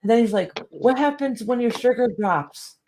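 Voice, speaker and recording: Female co-host, late 40s, chatty storyteller, quick laughs, slight noise floor. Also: on the high side, animated, warm tone. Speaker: podcast co-host. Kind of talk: casual chat.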